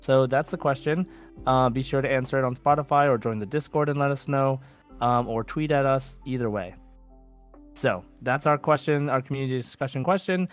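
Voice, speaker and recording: A man with an average-pitched voice co-hosts a podcast. He speaks informally and conversationally, addressing his listeners directly. Faint music sits behind the talk. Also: normal speed. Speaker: podcast co-host.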